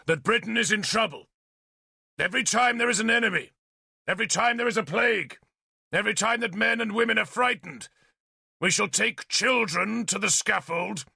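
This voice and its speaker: A man's voice: on the high side, animated, slow, gravelly, husky, no echo, studio quality, exaggerated voice. A man voicing a commanding, bitter and defiant warrior lord in an audiobook. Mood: angry, loud. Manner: forceful, commanding, embittered. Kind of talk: dramatic audiobook character voice.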